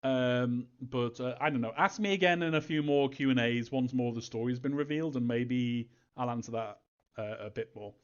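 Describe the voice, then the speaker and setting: A man's voice: medium pitch, normal speed, clear, resonant tone. A man answering fan questions casually as a game developer, reading them aloud and replying in a hesitant, chuckling, evasive way. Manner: hesitant, chuckling, evasive about story details. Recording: dull, lo-fi audio.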